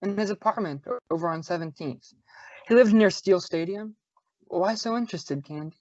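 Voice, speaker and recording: A woman's voice: on the low side, normal speed, darkish tone, no room sound, natural voice. A young woman playing an easygoing, teasing male homicide inspector with a casual manner, in old-time radio style. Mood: casual, familiar. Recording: dull lo-fi audio, very dry.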